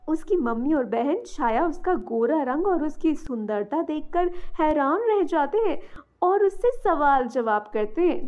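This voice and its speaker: A woman reads a scripted moral tale as narrator. Her voice sits very high. The recording sounds slightly dull and lo-fi. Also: darkish tone.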